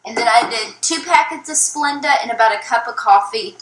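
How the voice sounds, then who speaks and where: A young woman speaks in a fairly high, bright voice, yet sounds flat and unenthused, stumbling over frequent ums. The clip comes from an old vlog replayed off a phone, with faint ambience underneath. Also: brisk, a little hiss or hum, low-quality recording. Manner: flat, low-energy, hesitant with many ums. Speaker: YouTuber. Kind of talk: casual vlog narration. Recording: old video played from a phone.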